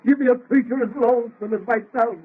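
A man playing a lonely, bitter man-made monster, rough-voiced, declaiming in anguished theatrical 1930s radio horror style. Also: extremely high pitch, fairly even intonation, brisk. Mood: pleading. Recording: muffled, lo-fi vintage radio recording.

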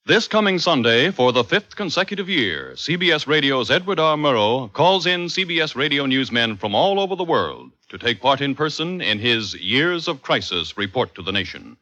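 A man's voice: mid-range voice, big pitch swings, moderate pace, hardly any pauses, bright, forceful tone. A man announcing a program promotion in formal, polished 1950s radio style. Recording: dull old radio recording.

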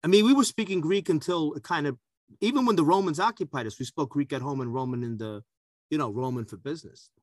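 Chatty man, higher pitched and joking. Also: fast talker, no room sound, clean studio sound. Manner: talkative, joking storyteller. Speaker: podcast co-host. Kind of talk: casual food chat.

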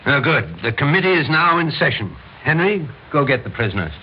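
Bossy vigilante major, radio play: brusque, impatient, lively intonation, dull noisy old recording. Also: male voice, a little high, a little fast. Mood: firm, reassuring. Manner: brusque, commanding, self-righteous. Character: impatient, domineering military major heading vigilante committee. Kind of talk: old-time radio drama acting.

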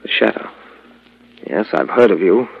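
An actor playing a secretive, defensive doctor in an old radio drama, with a gravelly voice. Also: male voice, on the low side, animated, measured pace, no room sound, heavily acted. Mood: uneasy, defensive. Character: secretive male doctor and stepfather, defensive under suspicion. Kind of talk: 1940s radio drama acting. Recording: dull vintage radio recording.

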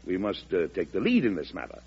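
Husky, low-voiced avuncular American president. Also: male voice, wildly swooping pitch, quick pace, a little mellow, heavily acted. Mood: firm, insistent. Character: folksy, firm American president telling his own story. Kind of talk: 1940s radio drama acting. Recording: muffled vintage radio recording.

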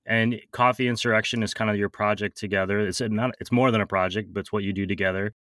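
A man's voice: on the low side, fairly even intonation, fast, fairly bright tone, dry recording, clean studio sound. A man hosting an interview, asking questions casually with hesitations and restarts. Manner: hesitant, with filler ums and restarts. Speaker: interview host.

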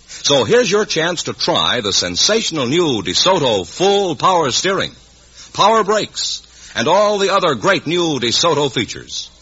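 Male 1950s American announcer, forceful and lively, selling hard, slightly rough voice on lo-fi radio. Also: on the high side. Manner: emphatic salesman delivery. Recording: dull vintage radio recording.

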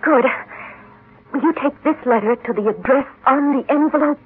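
A woman's voice: sits high, moderate pace, natural voice. An actress playing a frightened, suspicious young woman in an old radio drama, stammering and urgent. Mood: guarded, urgent. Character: frightened, suspicious young heiress, near hysterical. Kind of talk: 1940s-50s radio drama acting. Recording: lo-fi old radio recording, faint noise.

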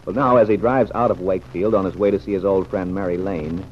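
A man narrating an old-time radio serial in a solemn, measured, scripted announcer style. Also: sits low, steady tone. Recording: muffled, noisy old radio recording.

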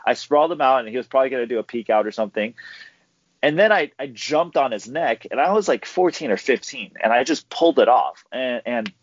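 A young man chats as a podcast guest, easygoing and quick to laugh as he tells his stories. His voice sits at an ordinary middle pitch. The recording is dry with no room sound and slightly muffled. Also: expressive, no echo. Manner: laid-back storyteller who laughs easily. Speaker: guest, pro MMA fighter. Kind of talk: casual podcast interview. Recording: dull dry audio.

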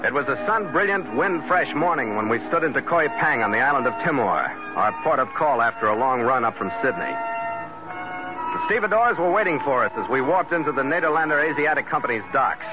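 Sea captain for vintage radio adventure male actor: mid-pitched, clipped, brisk storyteller, on a muffled recording with heavy music. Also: very animated, close to an everyday voice. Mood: brisk, measured. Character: brisk, steady sea captain narrating his own log. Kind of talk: 1940s radio adventure acting. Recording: dull old radio recording, loud music behind.

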